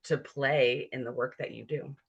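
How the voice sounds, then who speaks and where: A woman's voice: rumbling low, steady tone, normal speed, gravelly, breathy edge, lo-fi sound. A woman hosting an interview in a conversational style. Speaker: interview host.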